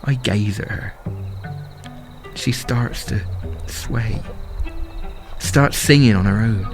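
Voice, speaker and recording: A man performing a dramatic first-person monologue as a lovestruck, excitable young festival-goer, with poetic, rhythmic delivery. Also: fairly low pitch, slow. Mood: wondering, hushed. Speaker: solo performer. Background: music and sound design behind the voice.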